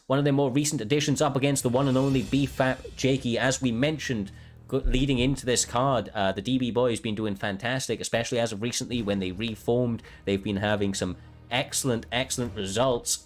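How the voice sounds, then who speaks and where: A man commentating a live fighting game match, excitable and losing his voice. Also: mid-range voice. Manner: excitable, swears when surprised. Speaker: esports commentator. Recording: music playing behind.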